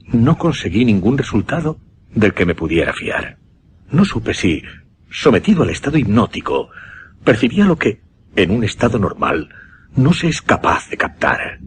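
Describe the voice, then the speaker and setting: Gentleman mesmerist, low voice, animated delivery, formal and deliberate, for radio suspense. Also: a little hiss or hum. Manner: formal, deliberate, measured with dramatic pauses. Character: earnest gentleman mesmerist recounting his uncanny experiment. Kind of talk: Spanish suspense radio drama acting.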